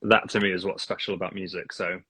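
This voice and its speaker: A man's voice: low voice, little pitch movement, fast, sharp tone, breathy edge, no room sound. A man, a singing teacher, chatting casually as a podcast guest, laughing often and poking fun at himself. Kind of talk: casual interview chat.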